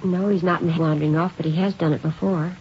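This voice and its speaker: A middle-aged mother played by a woman in a vintage radio drama, with a fairly low voice. She is polite and hesitant, keeping her worry under control. The old recording is dull and hissy. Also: fairly even intonation, fast, darkish tone, natural voice. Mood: composed. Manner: hesitant, polite, restrained anxiety. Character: worried early-forties mother, outwardly calm, polite housewife. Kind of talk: 1950s radio drama acting.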